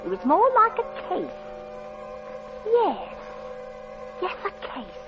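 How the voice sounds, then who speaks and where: A woman's voice: very high voice, wildly swooping pitch, slow, heavily acted. An actress playing a flustered middle-aged landlady in a 1940s radio drama, with a breathless, dramatic delivery. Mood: fearful, urgent. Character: anxious, flustered working-class landlady and mother, middle-aged. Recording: dull 1940s radio recording with music.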